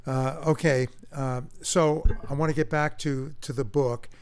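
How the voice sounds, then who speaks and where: A man's voice: mid-range voice, fairly even intonation, a little slow, smooth. A man hosting a casual interview, asking questions hesitantly with many restarts. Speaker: interview host.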